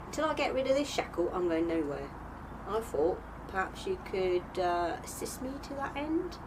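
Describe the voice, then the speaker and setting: Woman playing streetwise British servant girl, animated pitch. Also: moderate pace, noisy background. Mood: guarded. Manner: blunt, slangy, sceptical. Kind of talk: audio drama acting.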